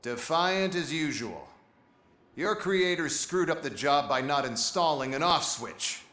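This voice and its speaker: Smug, higher-pitched corporate executive. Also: male voice, animated, measured pace, sharp tone. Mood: smug, gloating. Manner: condescending, sarcastic, grandstanding. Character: smug, scornful corporate robotics executive, man. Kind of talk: video game cutscene acting.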